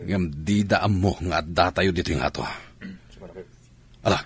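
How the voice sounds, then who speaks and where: A man's voice: sits low, a little slow. A man playing a commanding, urgent army officer in an Ilocano radio drama. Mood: tense, commanding. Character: commanding army officer leading troops into battle.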